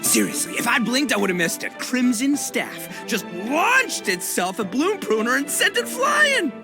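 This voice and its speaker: A man's voice: very high voice, wildly swooping pitch, unhurried, vocal fry, music in the background, full character voice. A man voicing a boisterous, slangy, big-hearted young gang boss who likes to show off in an anime fantasy game. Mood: bragging, excited. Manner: loud, slangy, bragging.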